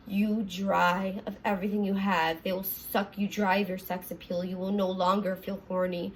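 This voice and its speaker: A young woman with a raspy voice, talking earnestly in a rambling confessional vlog from her apartment, full of fillers and restarts. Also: medium pitch, slight background noise, low-quality recording. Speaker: vlogger.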